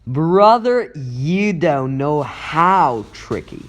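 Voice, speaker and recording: Guy doing young teen superhero, highish animated voice, wisecracky but anxious, dramatic thinking out loud, comic dub. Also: very slow. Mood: defiant, cocky. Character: wisecracking teenage male superhero, earnest and anxious. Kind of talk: comic book dub voice acting.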